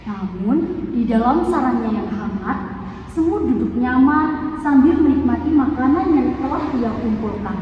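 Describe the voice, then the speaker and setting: High-pitched young woman storyteller, heavy echo. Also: expressive, measured pace. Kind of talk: scripted children's storytelling. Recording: muffled, distant, echoey hall with noise.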